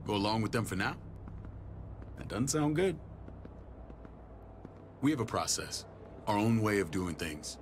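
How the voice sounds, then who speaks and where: An actor playing a stern, middle-aged American survivor-camp authority in a video game, curt, commanding and menacing. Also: male voice, fairly low pitch, very animated, measured pace, long pauses, a little hiss or hum. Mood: stern warning. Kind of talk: dramatic video game voice acting.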